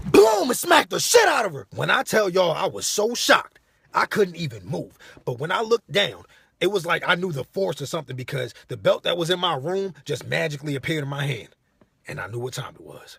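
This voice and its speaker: A man in his late twenties with an American accent tells a story for laughs in loose, sweary slang. His pitch swings widely and his husky voice races along, playing up his exasperation. The sound is dry and close. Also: dry recording. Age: late 20s. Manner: animated, exasperated, profane delivery with acted-out dialogue. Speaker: storytelling comedy vlogger. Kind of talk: casual comedic storytelling, slangy.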